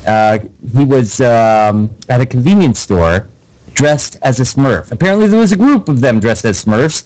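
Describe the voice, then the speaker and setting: A man's voice: mid-range voice, animated, moderate pace, darkish tone, poor audio. A man co-hosting a casual radio show, reading news items in a dry, hesitant way with lots of fillers.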